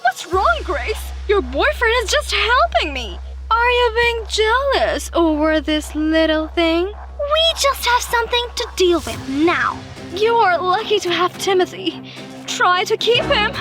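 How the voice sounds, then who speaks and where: Animated story role: woman as self-absorbed teen girl, very high voice, boastful and whiny, over a music bed. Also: a little slow, exaggerated voice. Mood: taunting. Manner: boastful, dismissive, whiny when playing helpless. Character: bratty, self-absorbed teenage pick-me girl, feigning sweetness.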